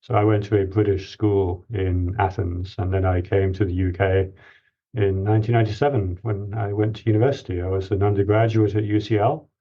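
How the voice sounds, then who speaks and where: A man in his forties from Greece, a guest expert giving measured, explanatory interview answers with restarts. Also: fairly low pitch, level tone, lo-fi sound.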